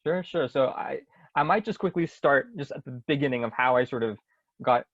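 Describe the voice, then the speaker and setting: A man's voice: medium pitch, moderate pace, fairly bright tone, no echo. A man in his twenties from Hong Kong, a guest speaker on a webinar, talking in a friendly way and laughing easily. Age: 20s. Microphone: video call. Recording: dry dull lo-fi sound.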